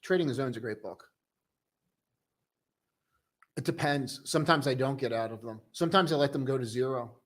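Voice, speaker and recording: A young man casually hosting a live stock-trading stream, chatting loosely and joking with viewers in a relaxed, tired way. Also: medium pitch, quick pace. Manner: loose, joking, full of restarts and "dude". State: tired, low on coffee.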